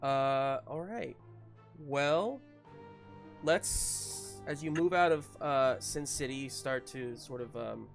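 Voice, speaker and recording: A man runs a tabletop roleplaying game at an unhurried pace. He improvises his narration in a casual, halting way, pausing to think. Soft music plays behind his voice. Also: mid-range voice, vocal fry. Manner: hesitant, improvising as they go. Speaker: game master.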